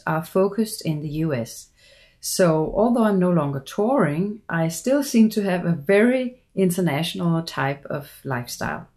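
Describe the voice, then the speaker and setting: Woman, Danish accent, middle-aged, voice coach, earnest measured pitch, slightly low voice. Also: a little mellow. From Denmark. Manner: measured, earnest, persuasive delivery. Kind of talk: promotional seminar pitch.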